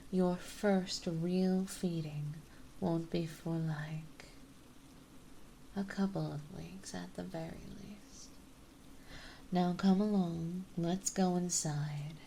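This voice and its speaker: Woman acting as a doting vampire mistress, asmr, really slow n mellow, breathy, kinda low, coaxing. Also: steady tone, a little hiss or hum. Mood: warm, reassuring. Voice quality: soft, breathy, often whispered. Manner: slow, coaxing, refined and reassuring. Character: dominant, gentle, doting female vampire mistress. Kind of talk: intimate ASMR roleplay.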